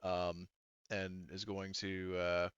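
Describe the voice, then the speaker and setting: A man's voice: deep voice, monotone, slow, fairly bright tone, clean tone, dry recording. A man improvising tabletop game narration as game master, speaking casually in rambling, looping phrases over a muffled connection. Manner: rambling, repeats "like" and "you know".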